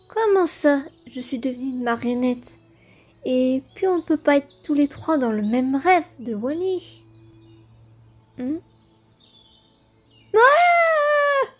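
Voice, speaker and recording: A woman's voice: very high voice, slight background noise, heavily acted. A woman voicing a curious, easily bewildered puppet boy in an amateur comic dub, earnest and wondering. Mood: bewildered, panicked.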